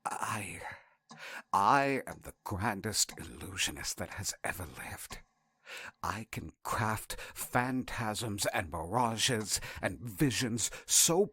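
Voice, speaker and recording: A man playing a vain but nervous gentleman illusionist in an audio drama, speaking formally and theatrically with a stammer. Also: fairly low pitch, breathy edge, no room sound, studio quality, exaggerated voice. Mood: boastful.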